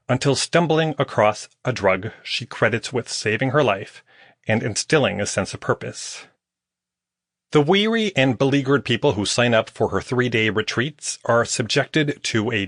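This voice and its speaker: A man's voice: medium pitch, fairly bright tone, no echo. A man reading scripted nonfiction audiobook narration as the author of the book. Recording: close, dry studio recording.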